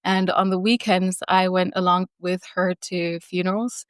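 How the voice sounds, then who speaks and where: A woman from Jamaica, a funeral director and guest, telling her story in a relaxed, reflective way. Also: fairly low pitch, a little slow, clear, resonant tone, dry recording, studio quality. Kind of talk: conversational interview storytelling.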